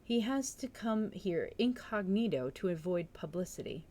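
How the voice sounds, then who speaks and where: A woman's voice: mid-range voice, expressive, normal speed, dark tone, natural voice. A woman voicing an opinionated, gossipy village busybody in a storybook read-aloud, stern, solemn and reproachful. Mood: solemn, disapproving.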